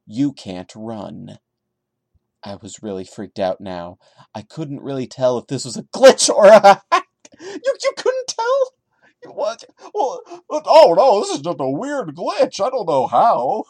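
A man's voice: mid-range voice, darkish tone, no echo. A man hosting a podcast, giving a dramatic reading of a horror story with incredulous, sarcastic asides in a theatrical manner. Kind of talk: dramatic reading with mocking commentary.

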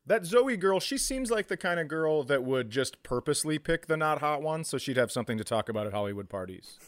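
A man's voice: on the high side, lively intonation, fairly quick, dry recording, clean studio sound. A man co-hosting a comedy podcast in casual banter, with wry, profane riffs.

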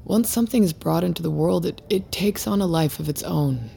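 An actress playing a grave, resigned technology creator in an audio drama, speaking deliberately and solemnly in a gravelly voice. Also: female voice, lowish voice, dark tone, a little hiss or hum. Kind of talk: naturalistic audio drama acting.

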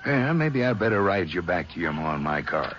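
Old radio western rancher, texas drawl, deep and friendly, really up and down, muffled recording. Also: male voice, very animated, a little fast, fairly bright tone. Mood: genial, coaxing. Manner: drawling folksy talk, chuckling, avuncular. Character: folksy, friendly unshaven rural Texas rancher. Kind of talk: 1950s radio western acting. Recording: dull, noisy old 1950s radio transcription.

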